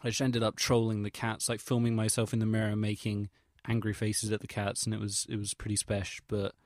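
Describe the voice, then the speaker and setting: A young English man with a fairly low voice talks in a casual audio log, recorded close and dry. He rambles hesitantly through his recollections, using slangy, sweary, self-deprecating wording. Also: completely flat delivery, a little fast, no echo. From England. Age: young adult. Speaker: vlogger.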